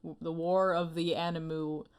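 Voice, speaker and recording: A woman's voice: low-pitched, level tone, moderate pace, darkish tone, smooth. A woman hosting a casual podcast in a playful, giggly, jokey manner. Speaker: podcast host.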